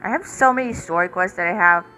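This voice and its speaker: A woman giving casual gameplay commentary, halting and thinking aloud. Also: on the low side, sharp tone, soft background music, rough recording. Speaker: gameplay streamer.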